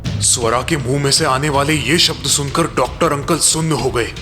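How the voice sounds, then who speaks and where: A man's voice: mid-range voice, normal speed, over music. A man narrating scripted moral and horror stories in a dramatic storytelling style.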